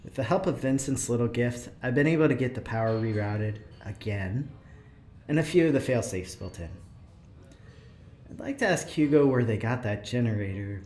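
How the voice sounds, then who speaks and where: A man's voice: fairly low pitch, darkish tone, slight background noise, natural voice. A man playing a friendly ship engineer who trades favors, cajoling and hesitant, in an audio drama. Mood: dry, confiding. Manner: cajoling, hesitant, eager to please.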